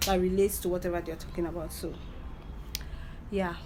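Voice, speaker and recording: A woman with a Nigerian accent hosting a casual podcast chat, chatty and quick to laugh. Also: lowish voice, little pitch movement. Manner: chatty, laughs often, playful. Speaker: podcast host. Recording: noisy background.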